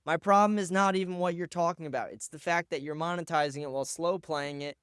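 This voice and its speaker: A man's voice: a little high, quick pace, fairly bright tone, clean tone, dry recording, clean studio sound. A man hosting his own live stream, reacting to clips and posts in a blunt, profane, ranting way. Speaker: show host.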